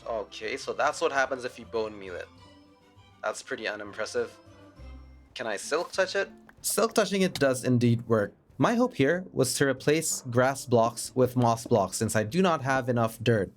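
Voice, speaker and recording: Raspy-voiced man with a cold narrating gameplay. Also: mid-range voice, lively intonation, normal speed. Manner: chatty, self-mocking, upbeat. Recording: faint background music.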